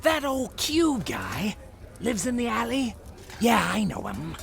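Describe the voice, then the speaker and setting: Gravelly sneering lowlife thug. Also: female voice, huge pitch swings, exaggerated voice. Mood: veiled menace. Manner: slangy, slow, wiseguy drawl. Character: scaly male barroom thug, sneering and taunting. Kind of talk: noir audio drama acting.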